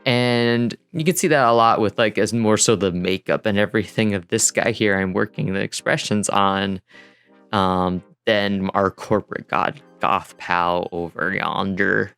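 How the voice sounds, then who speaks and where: A man's voice: fairly low pitch, steady tone, measured pace, creaky, a bit bright, smooth. A man giving a casual, rambling voice-over as an indie developer vlogger, thinking aloud in an informal way. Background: music under the voice.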